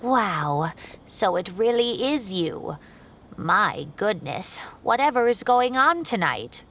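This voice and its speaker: A woman voicing a genteel, worried older female professor in a video game, with gently fretful exclamations. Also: medium pitch, big pitch swings, slow, a little hiss or hum, full character voice. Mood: surprised.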